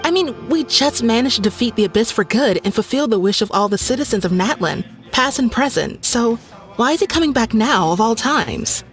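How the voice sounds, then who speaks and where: A woman's voice: fairly high pitch, expressive, normal speed, faint music behind, lightly acted. A woman voicing an emphatic, defensive young townswoman in a video game. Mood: indignant, puzzled.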